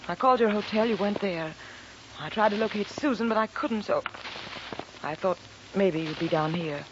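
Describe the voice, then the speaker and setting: A woman's voice: medium pitch, normal speed, natural voice. A woman playing a guarded, defiant widow in a 1940s radio crime drama, with a dramatic, cool and cutting delivery. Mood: agitated. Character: guarded, defiant wife of a murdered man. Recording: noisy, dull 1940s radio recording.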